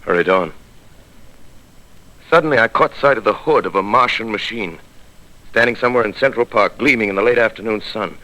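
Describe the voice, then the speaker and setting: An actor playing a solemn, weary scholar who survived an invasion, narrating in a grave, theatrical 1930s radio drama style. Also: male voice, lowish voice, pushed and bright, poor audio. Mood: weary, haunted, then tense awe. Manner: grave, measured, theatrical, reflective.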